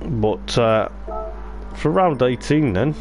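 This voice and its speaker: A man's voice: medium pitch, lively intonation, slow pace, darkish tone, faint music behind. A man hosting a casual sim racing livestream as commentator, chatty and self-mocking, his strained voice starting to give out. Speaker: livestream host and race commentator.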